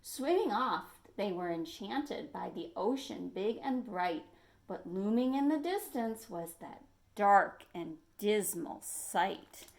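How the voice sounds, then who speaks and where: A woman's voice: mid-range voice, lively intonation, slow. A woman reading a picture book aloud to young children as a librarian, expressively, in a style that invites listeners in with questions. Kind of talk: animated storytime read-aloud for young children.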